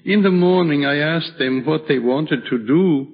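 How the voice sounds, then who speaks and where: An actor playing a kindly, serene old widowed hermit in a slow, solemn radio drama style. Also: male voice, fairly high pitch. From Switzerland (the figure). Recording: dull vintage radio recording.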